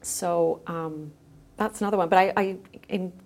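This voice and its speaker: A woman with a slightly low voice talks as an interview guest. She explains things conversationally, feeling her way through phrases and trailing off now and then. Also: steady tone, unhurried, darkish tone. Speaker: guest, editor and audio creator.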